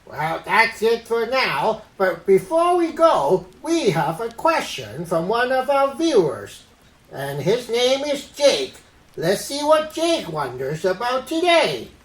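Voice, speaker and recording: A performer voicing Uncle Ed, a silly, earnest orangutan puppet who teaches Bible stories to children in a deliberate way. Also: medium pitch, very animated, roomy sound, poor audio. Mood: earnest, teaching. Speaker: host of children's Bible story show. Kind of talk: children's puppet show presenting.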